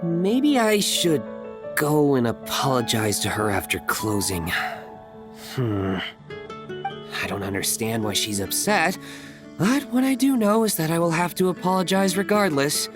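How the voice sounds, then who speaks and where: Young game townsperson, lower voice, animated swings, blunt, shrugging, offhand, faint music behind. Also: female voice, measured pace. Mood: resigned. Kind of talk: video game voice acting.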